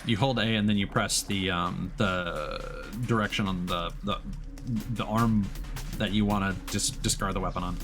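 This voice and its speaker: A man's voice: sits low, steady tone, moderate pace. A man giving casual let's play commentary as a streamer, rambling playfully and thinking aloud. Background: game music.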